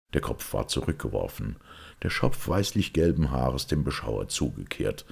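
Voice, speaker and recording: Extremely deep, gravelly male audiobook reader. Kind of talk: scripted literary narration.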